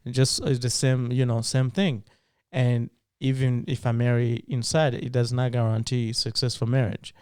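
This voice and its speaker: A man from Burundi debating on a podcast, pressing with probing questions. His voice is smooth and resonant at an ordinary male pitch. The sound is dry and close-miked. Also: level tone, moderate pace, a little mellow, no room sound. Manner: probing, questioning, laughs easily.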